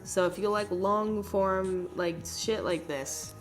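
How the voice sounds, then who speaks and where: A woman's voice: medium pitch, slow, soft background music. A young woman with a stuffed-up, nasal voice, rambling casually over her art footage with lots of fillers and swearing. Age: young adult. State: congested, nasal. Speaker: art vlogger. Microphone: phone.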